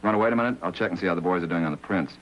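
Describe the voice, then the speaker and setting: A man's voice: deep voice, very fast, natural voice. An actor playing a methodical American police lab man, terse and matter-of-fact in 1950s drama style. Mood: businesslike. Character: brisk, methodical police crime lab technician, male. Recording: muffled, noisy 1950s TV soundtrack.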